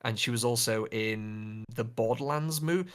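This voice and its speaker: A man talks as a podcast co-host in a casual, conversational way, at a middling pitch. He is informal and opinionated, putting plenty of emphasis on what he thinks. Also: normal speed. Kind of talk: casual chat about films and games.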